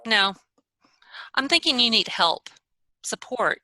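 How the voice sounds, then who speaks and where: A woman playing a concerned, chatty young 1940s best friend, expressive and full of advice, in a play reading over a video call. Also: fairly high pitch, takes it slowly, no echo, exaggerated voice. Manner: expressive, advising.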